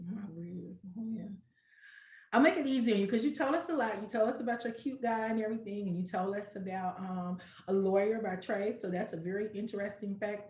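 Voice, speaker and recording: A woman's voice: medium pitch, darkish tone, reverberant. A woman in her sixties hosting a casual preschool program chat, talking in a chatty, affirming way with many restarts. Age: older. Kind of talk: casual online panel chat.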